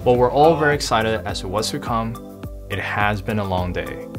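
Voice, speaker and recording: A man's voice: lowish voice, expressive, normal speed, over music. A young man narrating his travel vlog in an upbeat, chatty way. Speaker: vlogger.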